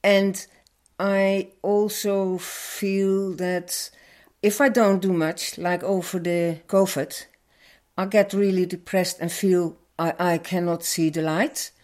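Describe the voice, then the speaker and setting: An older woman with a Dutch accent, speaking earnestly and a little haltingly as an activist being interviewed. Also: fairly low pitch, fairly even intonation, a little mellow. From Netherlands. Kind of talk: personal interview answer.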